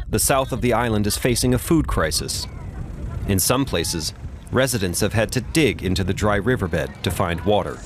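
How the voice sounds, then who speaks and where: A man's voice: lowish voice, slight background noise. A man reading a scripted news report voice-over in a documentary style.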